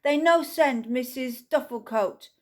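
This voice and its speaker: A woman voicing a Viking man who speaks halting broken English in a storybook reading. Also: high-pitched. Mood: solemn. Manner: halting, solemn pleading.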